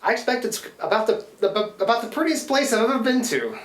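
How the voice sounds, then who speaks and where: A man's voice: extremely high pitch, sing-song, fast talker, a bit bright, heavily acted. A man acts out a scruffy riverboat skipper in a live radio drama. He talks in a rustic, folksy way, stumbling over words with a plucky, adoring heart. The room echoes around him. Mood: contented. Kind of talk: live radio play acting. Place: live theatre performance in a reverberant room.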